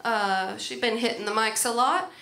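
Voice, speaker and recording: A woman's voice: a little high, moderate pace, creaky, bright, forceful tone. A woman hosting a live comedy show, introducing acts from the stage. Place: indoor comedy club stage. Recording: noisy, echoey live room.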